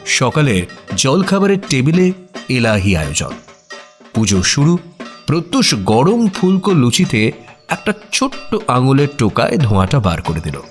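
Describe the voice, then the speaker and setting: An actor playing a detective's observant companion, narrating a mystery as a scripted audio story with expressive, suspenseful delivery. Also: male voice, mid-range voice, unhurried, soft background music.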